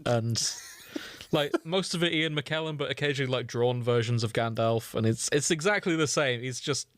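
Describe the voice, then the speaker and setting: A man's voice: medium pitch, moderate pace, fairly bright tone. A man co-hosting a podcast in casual comedic chat, giggly and riffing on absurd ideas. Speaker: podcast co-host.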